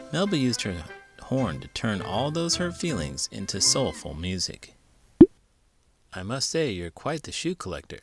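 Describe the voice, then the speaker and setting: A man reading a children's storybook aloud in an expressive, theatrical narrating style. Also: deep voice, creaky.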